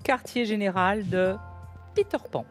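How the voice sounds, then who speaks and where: A woman's voice: mid-range voice, a little slow, soft background music. A woman in her sixties hosting a word game show, reading clues with emphasis and laughing often. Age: 60s.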